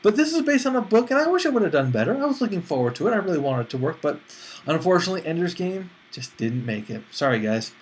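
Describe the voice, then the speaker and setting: Man reviewing movies in a higher-pitched voice. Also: sing-song, fast talker. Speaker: movie critic and host. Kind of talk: casual spoken film review.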